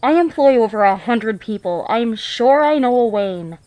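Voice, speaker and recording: A woman voices an irritable, haughty businesswoman in a visual novel. She is sardonic and curt, pitched on the high side. The recording is very dry. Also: lively intonation, moderate pace, darkish tone, dry recording, natural voice, rough recording. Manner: sardonic, dismissive. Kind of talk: visual novel fan dub.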